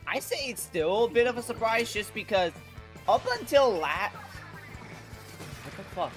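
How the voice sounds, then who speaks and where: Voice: medium pitch, lively intonation, a little slow. A congested livestream host chatting casually about games, slangy and sweary, often repeating and restarting phrases. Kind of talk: casual gaming livestream chat. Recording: music behind the voice. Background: music.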